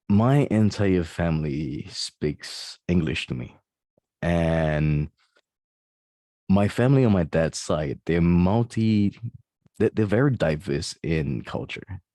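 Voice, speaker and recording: A man with a deep, resonant, bassy voice, a fitness coach telling his story in an interview. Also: a little mellow, no room sound, clean studio sound. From Malaysia.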